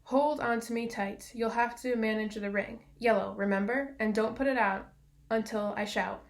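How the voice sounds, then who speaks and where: A woman voicing a plucky, slightly bossy schoolboy in a children's storybook, brisk and exclamatory. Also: fairly high pitch, fairly even intonation, close to an everyday voice. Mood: urgent.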